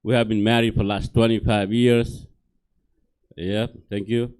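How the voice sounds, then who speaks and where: A man's voice: fairly low pitch, flat delivery, a little slow, a little mellow, clean tone. A middle-aged man from Nepal with a Nepali accent, doing a stand-up set on a comedy theater stage in simple, broken English and keeps checking in with the crowd.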